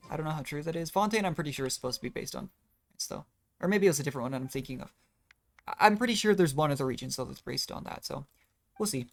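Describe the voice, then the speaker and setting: A game streamer rambling casually through gameplay commentary, thinking aloud in a self-deprecating way. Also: medium pitch. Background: video game music.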